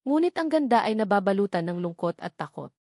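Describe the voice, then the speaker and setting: Woman narrating a love story, dramatic and steady, mid-pitched, dry close mic. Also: a little fast, no room sound. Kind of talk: scripted storytelling.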